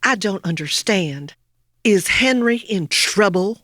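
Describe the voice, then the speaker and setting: A woman plays a homely, uneasy butcher's wife in a comic radio play. She speaks very slowly and carefully in a slightly low voice, with big swings of pitch and a dry sound. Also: animated, fairly bright tone, no echo. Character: worried, hesitant butcher's wife, homely and innocent.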